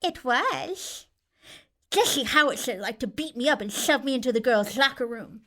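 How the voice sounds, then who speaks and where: A woman plays a pint-sized teenage master of the dead in an audio drama. He is bitter and nerdy, speaking with theatrical menace and grand self-importance in a slightly high voice. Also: animated, moderate pace, pushed and bright, heavily acted. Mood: smug, bitter. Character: small bitter nerdy teenage boy necromancer villain.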